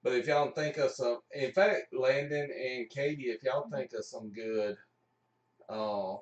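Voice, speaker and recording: A man's voice: mid-range voice, steady tone, a little slow, lo-fi sound. A man hosting a livestream in an informal, jokey, chatty way. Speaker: livestream host. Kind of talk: casual chat. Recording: echoey room, distant mic.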